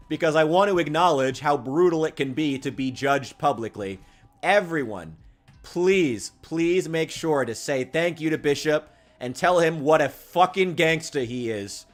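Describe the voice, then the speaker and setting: A young man live-streaming as he plays, chatting with viewers in a lively, profane way, sometimes hyped and sometimes muttering, his voice getting tired. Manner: animated, profane, swings between loud hype and low mutters. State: losing his voice. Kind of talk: casual live-stream chat while gaming.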